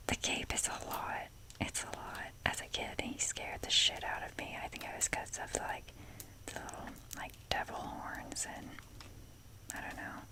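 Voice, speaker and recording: A woman whispers a casual ASMR ramble at an unhurried, slow pace. She is self-deprecating and swears offhandedly as she drifts along. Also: rough recording. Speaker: ASMR video creator.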